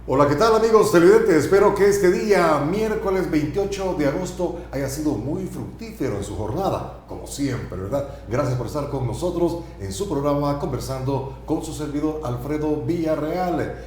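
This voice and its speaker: A man's voice: on the high side, lively intonation, moderate pace, few pauses, a little mellow, heavy reverb, slight background noise. A man hosting a TV interview show, chatty and asking frequent tag questions. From El Salvador. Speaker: TV talk show host. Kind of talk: conversational TV interview. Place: TV studio.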